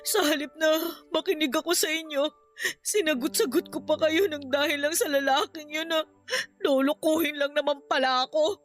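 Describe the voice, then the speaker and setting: A woman's voice: high voice, normal speed, close to an everyday voice. A woman playing a young, remorseful niece in a radio drama, crying and pleading respectfully. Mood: tearful, remorseful. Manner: pleading, deferential. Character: tearful, remorseful young niece, grateful to her aunt. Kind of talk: Filipino radio drama acting.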